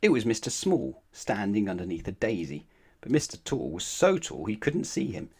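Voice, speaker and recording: A man narrating a children's bedtime storybook aloud in an expressive read-aloud style. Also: fairly low pitch, normal speed, breathy edge.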